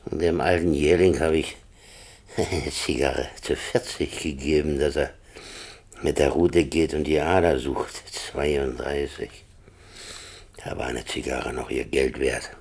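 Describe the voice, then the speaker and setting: An actor playing a stubborn, grumbling man in his seventies in a German radio play, curt and gruff with a rough old voice. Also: very deep voice, fairly even intonation, a little slow, a little mellow, full character voice. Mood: gruff, bitter. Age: 70s.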